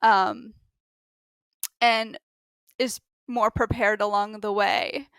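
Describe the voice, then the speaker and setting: A woman on a book podcast panel, chatting casually and haltingly as she thinks aloud. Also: medium pitch, level tone, fairly bright tone, smooth, dry recording.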